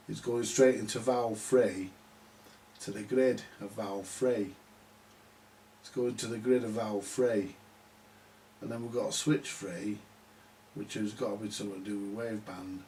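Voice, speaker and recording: A man giving halting, unscripted commentary at a workbench, muttering to himself as he works things out. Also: fairly low pitch, a little mellow. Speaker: vintage radio repair hobbyist. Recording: distant mic with background hiss.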